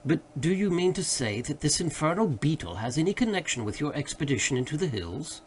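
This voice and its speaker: A man voicing a worried, sensible gentleman friend who remonstrates earnestly and formally in a literary audiobook. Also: a little high, very animated, a little fast, a little hiss or hum. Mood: exasperated. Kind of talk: literary audiobook character dialogue.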